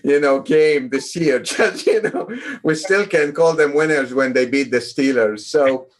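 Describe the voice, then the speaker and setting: A man's voice: on the high side, a little slow. A middle-aged man from Lebanon, a guest oncologist talking casually in an interview over a video call, rambling with many fillers and restarts.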